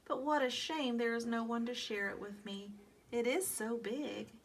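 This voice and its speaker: A woman's voice: fairly high pitch, normal speed, close to an everyday voice. A woman voicing a cheerful, house-proud little female squirrel from a storybook, in a singsong, genteel way. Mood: delighted. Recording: background noise from reading outdoors.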